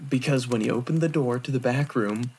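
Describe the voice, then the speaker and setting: A man's voice: mid-range voice, brisk, darkish tone, husky. Man playing a smug, theatrical young monk. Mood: spooky, dramatic. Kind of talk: fan audio drama acting, spooky campfire storytelling.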